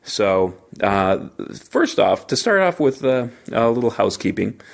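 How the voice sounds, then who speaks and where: Podcast host, male, low gravelly voice, stuffy from a cold, rambling with lots of fillers, slight hotel-room echo. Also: sing-song, unhurried. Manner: rambling, many fillers and restarts. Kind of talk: solo podcast monologue.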